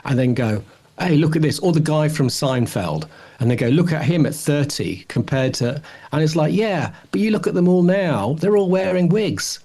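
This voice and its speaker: A man's voice: a little high, expressive, moderate pace, mellow. Man thinking aloud in casual podcast chat. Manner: opinionated, thinking aloud with false starts. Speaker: podcast co-host. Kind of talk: casual rambling discussion.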